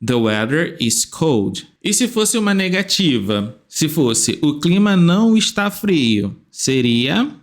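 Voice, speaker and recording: Male English teacher, slightly high voice, drawn-out patient delivery, repeats each phrase. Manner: repeats phrases slowly, stretching words, lively rising questions. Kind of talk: slow step-by-step grammar lesson.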